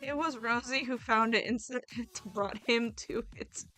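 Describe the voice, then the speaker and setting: High-voiced woman chatting over music. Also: normal speed, bright, forceful tone, dry recording. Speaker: roleplay series host and video creator. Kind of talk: casual YouTube outro announcements, chatting to viewers. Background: background music.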